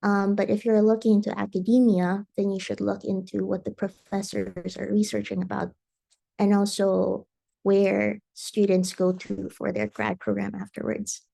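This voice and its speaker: A young woman from Indonesia answers panel questions in a casual, detailed way, giving advice with frequent ums. Her voice is heavily creaky and mellow rather than bright. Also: medium pitch, level tone, normal speed, rough recording. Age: young adult. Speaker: transfer student panelist.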